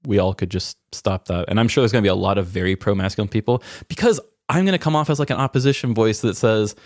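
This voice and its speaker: Guy podcast host w a deeper voice, dry sound, opening up honestly, kinda self-deprecating. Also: quick pace, dry recording. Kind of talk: candid personal conversation.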